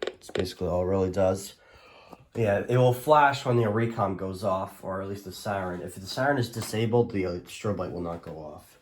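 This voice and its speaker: A man with a fairly low voice walks through a collection in a casual showcase. He rambles informally and hesitates often as he presents. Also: fairly quick, a little hiss or hum. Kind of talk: casual product showcase narration.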